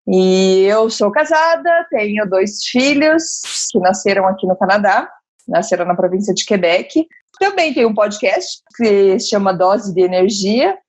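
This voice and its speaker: A woman's voice: mid-range voice, measured pace. A middle-aged woman from Curitiba with a Curitiba accent, chatting as a podcast guest and telling her story with laughs. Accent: Curitiba Brazilian Portuguese. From Curitiba, Brazil. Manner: lively storyteller, laughs often. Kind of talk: casual chat.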